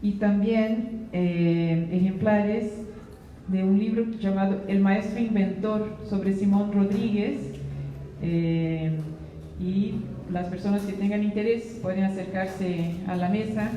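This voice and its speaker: Slow-speaking woman moderating in a hall. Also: medium pitch, steady tone, background noise. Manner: hesitant, many fillers. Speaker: event moderator. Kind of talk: announcements to an audience. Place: university lecture hall. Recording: distant echoey hall with audience.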